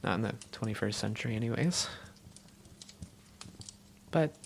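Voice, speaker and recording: A woman playing an affectionate, self-doubting vampire girlfriend in a cozy roleplay audio, speaking in an intimate, teasing and sometimes halting way. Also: a bass voice, creaky, a little hiss or hum. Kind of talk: cozy roleplay audio, one-sided conversation.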